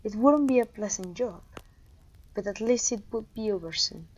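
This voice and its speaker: Woman acting a breathy, haughty evil queen. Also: mid-range voice, a little mellow, lo-fi sound, close to an everyday voice. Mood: smugly menacing. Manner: pompous, commanding, deliberate condescension. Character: haughty evil sorceress queen, menacing and imperious. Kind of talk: ASMR roleplay voice acting addressed to listener.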